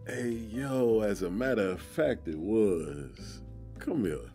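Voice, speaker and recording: A man's voice: fairly low pitch, animated, slow pace, warm tone, soft background music. An actor playing a protective, street-smart young man in an audio drama, speaking in slangy, assertive dialogue. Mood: tender, protective.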